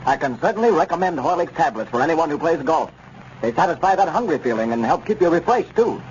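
A man's voice: a little high, expressive, quick pace. A man giving a scripted testimonial in a 1930s radio advertisement. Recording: muffled 1930s radio recording, faint noise.

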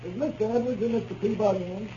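A man plays a formal, courteous lawyer in an old radio comedy. His voice is high but soft-edged and mellow, and businesslike, on a muffled 1930s recording with some noise. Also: fast, close to an everyday voice. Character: polite, businesslike male lawyer on a client call.

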